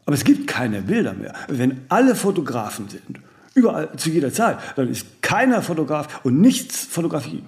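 A haughty, high-voiced eccentric celebrity icon. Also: male voice, big pitch swings, normal speed, darkish tone. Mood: musing, pontificating. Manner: haughty, rambling, aphoristic, with clipped tag questions and self-corrections. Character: vain, eccentric ageing male celebrity icon, imperious and aloof. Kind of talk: radio play monologue in dialogue.

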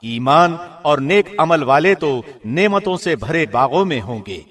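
Male radio-style reader for scripture translation: fairly high voice, formal, measured, carefully phrased. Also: lively intonation, fairly bright tone.

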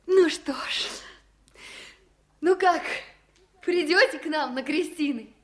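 An actress playing an eager, anxious young expectant village wife in a 1970s radio play, speaking earnestly and emotionally. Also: female voice, high voice, slow pace. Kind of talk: 1970s radio drama acting.

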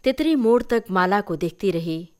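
A woman reading a literary short story aloud as an expressive, scripted narration. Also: medium pitch, normal speed. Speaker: story reader.

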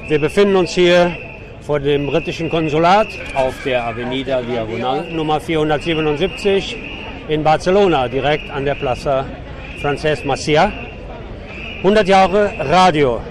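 A man with a fairly high voice reports for radio from a city street. Clear traffic noise surrounds him. His delivery is that of a live protest report, laced with opinionated, sardonic commentary. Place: outdoors on a city avenue at a demonstration.

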